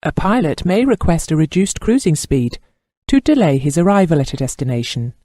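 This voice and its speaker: A man narrates training material formally and with care. His voice sits a little high for a man and moves through a lively range of pitch. The tone stays soft and mellow. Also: no echo, studio quality. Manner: formal, measured reading. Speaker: instructor narrator. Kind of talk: scripted instructional narration.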